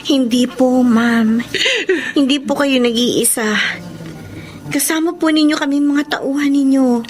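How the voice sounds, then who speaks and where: A woman's voice: high voice, fairly even intonation, unhurried, darkish tone, soft background music. A young woman playing a dutiful, respectful daughter and employee in a Filipino audio drama, speaking earnestly with a Filipino accent. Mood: sympathetic. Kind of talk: Filipino radio drama acting.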